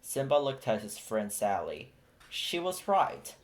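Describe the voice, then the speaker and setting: A man narrating a children's picture book aloud in a steady, clear storytelling manner. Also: medium pitch, slow pace, very noisy. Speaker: storybook reader.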